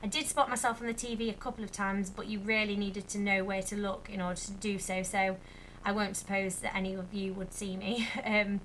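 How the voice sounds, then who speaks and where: A young woman, a little croaky, chatting casually to camera in a rambling vlog update. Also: mid-range voice, little pitch movement, a little fast, fairly bright tone, slight background noise, poor audio. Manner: chatty, rambling with many fillers. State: slightly croaky. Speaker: vlogger.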